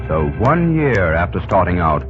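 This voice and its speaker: A man's voice: low voice, very animated, moderate pace. A man giving dramatic scripted narration for an old-time radio drama. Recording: tinny old radio recording, music behind.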